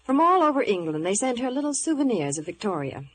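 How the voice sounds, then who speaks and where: A woman's voice: a little high, very animated, fast talker. A woman delivering scripted movie news and advertising in 1950s radio style. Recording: noisy vintage radio broadcast.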